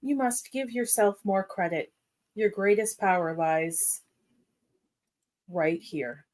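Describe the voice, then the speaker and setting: A woman's voice: medium pitch, slow, a little mellow, lightly acted. A woman playing a principled, encouraging superheroine in a radio play, with a formal, reassuring manner. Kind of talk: radio-play script reading.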